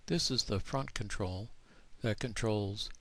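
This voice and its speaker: A man giving a casual, halting, plain-spoken demonstration as a hobbyist narrator. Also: on the low side, dry recording. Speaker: vintage radio collector demonstrating.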